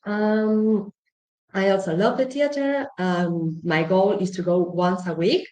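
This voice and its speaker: A woman's voice: medium pitch, takes it slowly, dark tone. Argentine woman, roomy echoey sound. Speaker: guest presenter, data visualization consultant. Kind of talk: informal self-introduction to an audience. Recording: roomy echo on online call.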